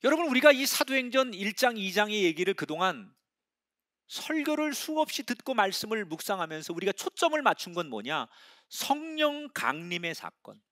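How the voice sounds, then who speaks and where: A middle-aged male pastor preaching a sermon, telling the story dramatically and swinging between hushed whispers and loud exclamations. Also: high-pitched, sing-song, normal speed.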